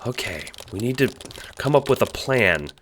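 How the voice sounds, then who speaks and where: A man's voice: medium pitch, very animated, normal speed, background noise, pitched above the performer's own voice, natural voice. A man playing an exasperated, sarcastic young gamer in an audio drama, with an emphatic delivery. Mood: worried, urgent.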